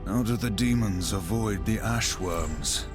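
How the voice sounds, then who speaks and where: Stoic apocalyptic warrior, played by a man: very low, measured, blunt and threatening, game cinematic with score behind. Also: music in the background, lightly acted. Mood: solemn. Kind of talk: video game cinematic acting.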